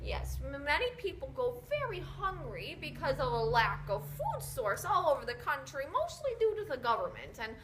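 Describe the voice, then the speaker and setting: A woman's voice: high voice, wildly swooping pitch, moderate pace, few pauses, background noise. A woman playing a doting, forgetful elderly Irish grandmother in an amateur skit, rambling with many hesitations. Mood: explaining.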